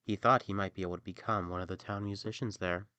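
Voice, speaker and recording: A man narrating a fairy tale as an expressive storyteller. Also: deep voice, fast talker, smooth, dry recording.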